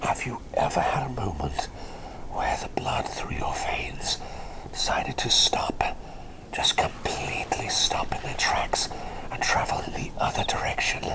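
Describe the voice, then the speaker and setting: An eccentric, excitable plague doctor played by a man murmurs in a breathy whisper for an ASMR roleplay. The delivery swoops widely up and down with a crackly fry at the edges. He is doting and easily sidetracked, politely repeating his phrases. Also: medium pitch, exaggerated voice. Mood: intrigued, wistful. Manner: rambling, easily distracted, repeats phrases, politely old-fashioned.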